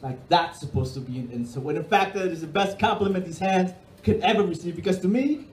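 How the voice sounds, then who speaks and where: A middle-aged man from Peru performing spoken-word poetry on a dark bar stage into a stand microphone, with a rhythmic, emphatic delivery. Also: sits high, a little fast, slight background noise. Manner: rhythmic, emphatic delivery with sweeping hand gestures. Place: dark stage of a bar and music hall, indoors. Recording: roomy hall sound.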